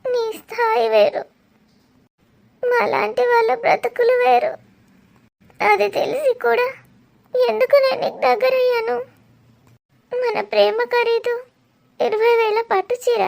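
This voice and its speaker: A woman's voice: extremely high pitch, measured pace, creaky, long pauses, slight background noise, exaggerated voice. A woman playing a heartbroken young married woman who pleads in a tearful, crying monologue. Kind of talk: melodramatic audio drama.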